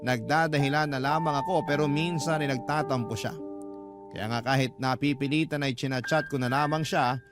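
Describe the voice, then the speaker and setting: A man's voice: medium pitch, steady tone, brisk, over music. A man narrating a scripted first-person story as a radio drama host.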